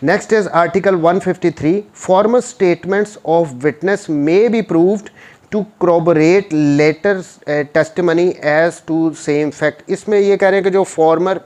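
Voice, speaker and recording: Man, slightly high voice, Pakistani accent, lecturer, explanatory delivery, didactic, repeats and simplifies points. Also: darkish tone. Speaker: law lecturer. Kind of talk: explanatory lecture, reading statutes in English and explaining them informally.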